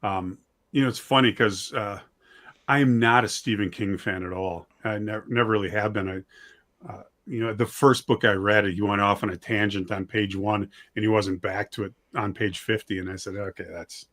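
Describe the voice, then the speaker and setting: Man, fairly low voice, casual discussion, conversational, halting delivery, anecdotal. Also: fairly even intonation, fairly bright tone.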